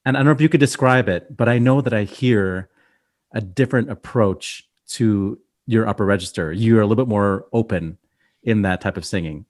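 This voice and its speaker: A man's voice: lowish voice, normal speed, darkish tone. A man co-hosting a podcast, asking enthusiastic, rambling questions in casual interview chat. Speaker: podcast co-host.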